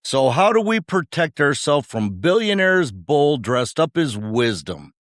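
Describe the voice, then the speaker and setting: A man's voice: fairly high pitch, sing-song, measured pace, dry recording, studio quality. A man co-hosting a podcast, delivering scripted commentary in short, punchy rhetorical phrases with wry humour. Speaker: podcast co-host.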